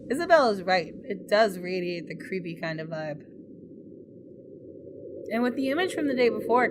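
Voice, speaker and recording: A woman reading a visual novel aloud as a gaming YouTuber, expressive and reacting to the story. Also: fairly low pitch, noisy background.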